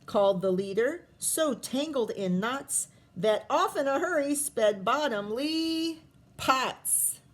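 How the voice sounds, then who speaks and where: A woman teacher reads a storybook aloud to young children. Her voice sits fairly high and her pace is very slow. She is expressive and breaks off to put questions to her listeners. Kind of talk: children's picture book read-aloud with asides.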